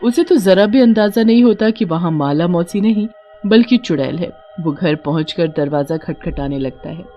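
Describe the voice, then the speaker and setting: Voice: medium pitch, animated, normal speed, muffled recording, soft background music. A narrator reading a scripted horror tale for a Hindi cartoon. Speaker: story narrator.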